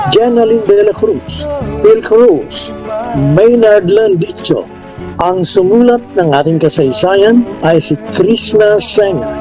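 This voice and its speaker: A man with a Filipino accent reading scripted credits as a radio drama announcer. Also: high voice. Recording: dull lo-fi broadcast, loud music behind.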